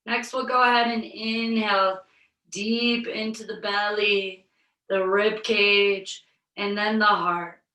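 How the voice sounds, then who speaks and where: A woman yoga instructor with a slight head cold, guiding a virtual class through breathing in a slow, soothing way. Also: medium pitch, fairly even intonation, bright, forceful tone, roomy sound, far from the mic, low-quality recording.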